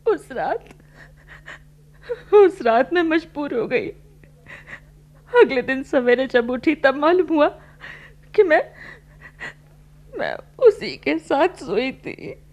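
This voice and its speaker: A woman's voice: high-pitched, big pitch swings, slow, long pauses, a little mellow, exaggerated voice. An actress playing an anguished widowed housewife and mother in a radio play, with a pleading, melodramatic delivery. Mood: sorrowful recounting. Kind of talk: Hindi radio play acting.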